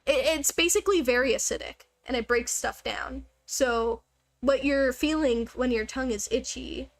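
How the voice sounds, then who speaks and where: A woman livestreaming a game, chatting casually with viewers in a joking, self-deprecating way. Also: on the high side. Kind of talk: casual rambling chat with viewers.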